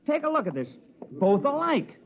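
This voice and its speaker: An excitable, suspicious miner with a high, animated voice and slangy delivery. It is old radio acting with muffled sound. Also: male voice, normal speed, full character voice. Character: excitable, suspicious male mining-camp worker. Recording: dull vintage 1950s radio recording.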